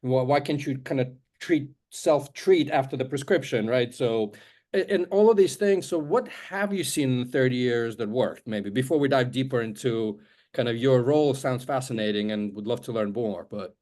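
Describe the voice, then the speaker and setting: Rambling podcast co-host, Russian native. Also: male voice, mid-range voice, normal speed, a little mellow. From Ukraine. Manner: rambling, hedging questions. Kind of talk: podcast interview.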